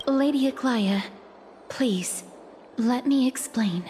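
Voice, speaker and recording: Earnest, high-voiced young envoy. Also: female voice, a little mellow, a little hiss or hum. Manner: polite, measured, earnest. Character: earnest, soft-mannered young female envoy, dutiful and careful. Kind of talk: dramatic video game voice acting. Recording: music underneath.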